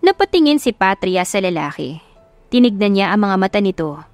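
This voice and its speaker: A woman's voice: mid-range voice, very animated, normal speed, a little mellow, no room sound. A woman narrator reading a scripted audio love story. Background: music.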